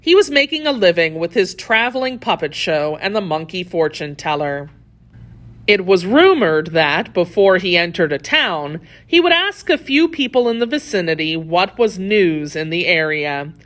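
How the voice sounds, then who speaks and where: A woman narrating a children's story from a script, telling it in an expressive, deliberate way. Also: on the low side.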